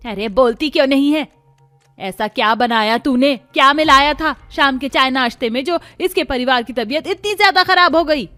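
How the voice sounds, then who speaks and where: A woman's voice: high-pitched, normal speed, sharp tone, no echo, faint music behind. A woman voicing a fretful female relative in a cartoon family drama. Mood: scolding.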